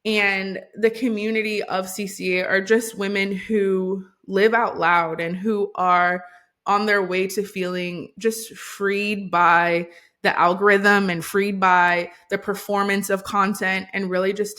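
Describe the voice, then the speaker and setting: An upbeat woman from Hawaii chats as a podcast guest with a mid-range voice. She talks quickly and casually, with easy fillers. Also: medium pitch, sharp tone. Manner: upbeat, fast-talking with fillers. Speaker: podcast guest, business coach. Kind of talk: casual interview chat.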